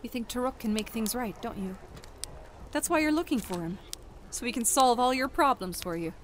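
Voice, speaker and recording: A woman's voice: on the high side, lively intonation, normal speed, a little hiss or hum, natural voice. An actress playing a fiery young elf woman in a fantasy audio drama, with a pointed, challenging manner. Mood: frustrated. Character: fiery, defiant young elf woman burdened by unwanted power.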